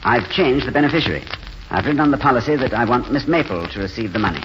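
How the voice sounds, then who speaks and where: An actor playing a courteous, wistful, idealistic drifter in a 1940s radio drama, speaking in an eloquent, genteel way. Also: male voice, mid-range voice, animated, quick pace, natural voice. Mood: polite, earnest. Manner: eloquent, genteel, hesitant openings. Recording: dull, faintly noisy 1940s radio recording.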